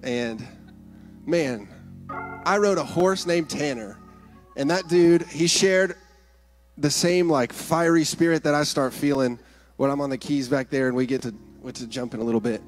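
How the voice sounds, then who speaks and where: A man's voice: a little high, normal speed, faint music behind. Earnest young man sharing at church. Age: young adult. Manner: earnest, casual talk with restarts. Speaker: keyboard player giving a testimony. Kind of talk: church stage testimony. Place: church sanctuary stage. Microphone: handheld mic.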